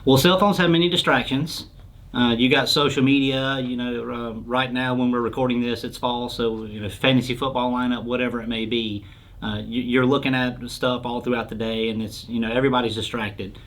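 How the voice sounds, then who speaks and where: A man's voice: mid-range voice, a bit bright. A man answering questions as a sales expert in an informal explainer interview, speaking in a plain, explanatory way.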